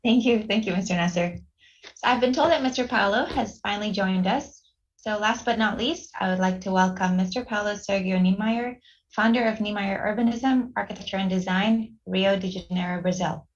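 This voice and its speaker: A woman moderates a webinar and introduces each speaker politely and formally. Her voice sits at a medium pitch. The audio is a little dull and lo-fi. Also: normal speed. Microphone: video call.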